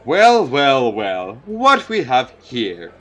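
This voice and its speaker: A man's voice: mid-range voice, huge pitch swings, a bit bright, pitched below the performer's own voice, heavily acted. A man voicing a sardonic adult man who mockingly teases youngsters, drawing out his words, in a visual novel. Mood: smug. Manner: mocking, drawn-out teasing. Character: sardonic, teasing adult man, mister at an academy.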